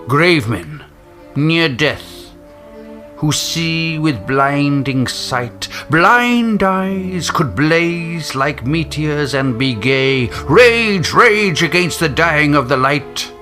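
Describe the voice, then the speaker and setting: Very slow man reciting over music. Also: a little high, animated, bright, forceful tone. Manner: slow, measured, dramatic. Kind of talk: dramatic poetry recitation.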